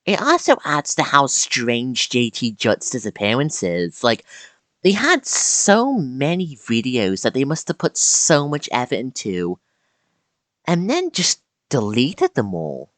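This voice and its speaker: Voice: mid-range voice, huge pitch swings, slow pace, fairly bright tone, no room sound. A YouTuber narrating a scripted video essay with casual asides and dramatic emphasis on key words.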